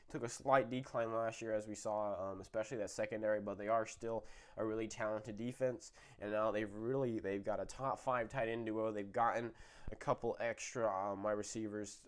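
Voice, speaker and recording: A young man, a little sick, giving casual football predictions with lots of fillers and self-corrections. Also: mid-range voice. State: slightly sick, voice a bit off. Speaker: sports video host.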